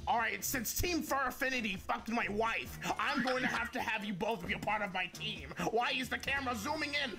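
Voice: medium pitch, a little fast, creaky, few pauses, lightly acted. An actor voicing a ranting, foul-mouthed villainous mad scientist in a theatrical, improvised comedy game fan dub. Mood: scheming, irritated. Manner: theatrical, bombastic, swearing. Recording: game music behind the voice.

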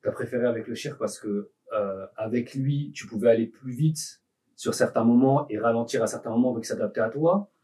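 A man co-hosting a podcast who sums up what his guest says in brisk follow-up questions. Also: medium pitch, warm tone. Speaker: podcast co-host. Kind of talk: casual podcast interview. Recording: voice from a distance.

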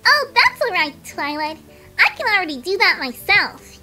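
A woman's voice: extremely high pitch, very animated, moderate pace, sharp tone, soft background music, heavily acted. A woman voicing a playful, hyperactive young female pony in a comedic cartoon dub. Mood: playful, breezy.

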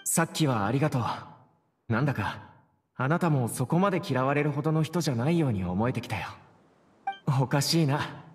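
An actor playing a gentle, puzzled young man in a video game, speaking softly and reflectively. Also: medium pitch, lively intonation, brisk, close to an everyday voice. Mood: grateful, puzzled. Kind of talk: Japanese video game voice acting.

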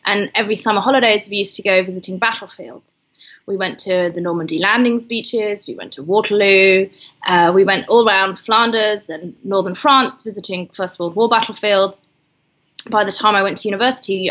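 A woman from the UK, a historian answering interview questions in a measured, articulate way. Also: medium pitch, fairly bright tone, slightly rough, poor audio. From United Kingdom. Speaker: historian guest.

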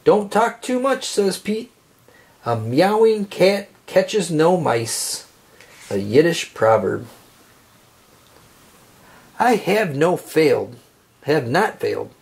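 A man reading a children's picture book aloud, phrasing it deliberately and expressively for young listeners. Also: fairly high pitch, very animated, vocal fry, darkish tone.

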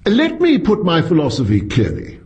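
A man's voice: a little high, wildly swooping pitch, moderate pace, darkish tone, lo-fi sound, voice pushed up. A man voicing an intense, reedy philosophy professor who lectures with smug energy, in an audiobook story. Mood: precise, confident.

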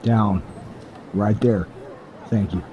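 An actor playing a laconic, guarded space vagabond who speaks tersely in an audio drama. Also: male voice, fairly low pitch, fairly even intonation, takes it slowly, mellow, muffled recording, a little hiss or hum.

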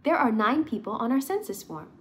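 A woman plays a sweet little immigrant girl in a children's storybook. The voice is high and melodic, the telling simple and earnest. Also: big pitch swings, fairly quick, a bit bright. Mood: earnest, friendly. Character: sweet young immigrant girl with her doll.

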